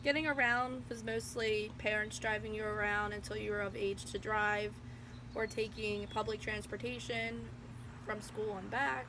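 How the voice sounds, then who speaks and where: A woman speaking in a flat, hesitant way about her neighborhood. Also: mid-range voice, normal speed, noisy background. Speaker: interviewee.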